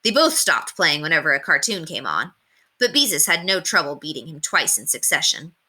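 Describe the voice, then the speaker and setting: A woman reads a children's story aloud in a very bright, crisp-edged voice. Her pitch sits a little low but moves in lively, expressive swings. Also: fairly quick. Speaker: read-aloud narrator. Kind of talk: scripted children's story narration.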